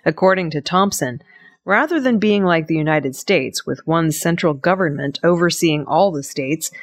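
A woman reading scripted news narration as the presenter of an audio news programme. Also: on the low side. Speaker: news reader.

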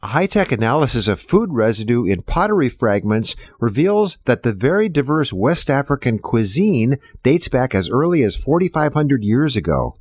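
Lively male news host. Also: mid-range voice, normal speed, hardly any pauses, dry recording. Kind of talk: scripted news introduction.

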